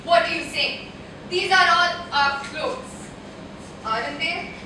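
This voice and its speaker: Heard from far off in a very echoey, noisy hall, a young actress plays an indignant, fed-up wife. Her voice is high, and she scolds reproachfully in a theatrical stage manner. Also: slow. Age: young adult. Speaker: student stage actor. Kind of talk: live theatrical stage acting. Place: auditorium stage.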